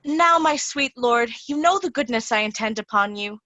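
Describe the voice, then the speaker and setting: A woman's voice: fairly high pitch, animated, moderate pace, bright, forceful tone, lo-fi sound. A woman playing a jealous, possessive noblewoman in love in a Shakespeare reading. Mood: coaxing, suspicious. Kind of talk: readers' theatre Shakespeare.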